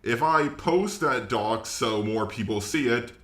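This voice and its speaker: A man's voice: medium pitch, unhurried, fairly bright tone. A man giving casual reaction commentary. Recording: roomy, echoey voice recording.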